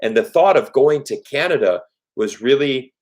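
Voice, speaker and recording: A man's voice: medium pitch, lively intonation, moderate pace, darkish tone. A man whose was German, hosting a live-stream Q&A, talking earnestly and conversationally and often restarting phrases with "you know". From United States. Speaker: YouTube host.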